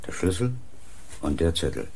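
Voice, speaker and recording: An actor playing a matter-of-fact, firm older husband in a German radio crime drama. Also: male voice, low-pitched, big pitch swings, normal speed, a little hiss or hum. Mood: puzzled.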